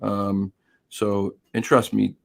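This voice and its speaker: A hoarse man hosting a casual live stream, chatty and greeting viewers by name. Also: fairly low pitch, little pitch movement, takes it slowly, background noise. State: hoarse, losing his voice.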